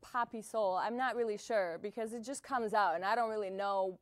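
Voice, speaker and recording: A young woman from Bosnia, a singer-songwriter guest answering interview questions in a soft, hesitant way with many fillers, in a small music venue. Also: mid-range voice, fairly even intonation, normal speed, no room sound.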